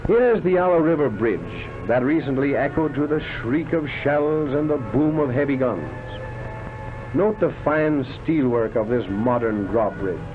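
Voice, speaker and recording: Old 1930s newsreel guy narrating, showy and declamatory, really tinny film sound, loud music under him. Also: medium pitch, animated, a little slow. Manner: declamatory, florid showman-like delivery. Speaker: documentary narrator. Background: loud background music.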